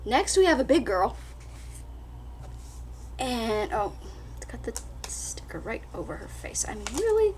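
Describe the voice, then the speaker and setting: A woman's voice: a little high, sing-song, unhurried, pauses often, a little mellow. A woman vlogging a casual book haul, chatty and full of fillers, her voice a little scratchy after being sick. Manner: chatty, lots of fillers and restarts. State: slightly scratchy voice after illness. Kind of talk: casual book haul chat with read-out blurbs.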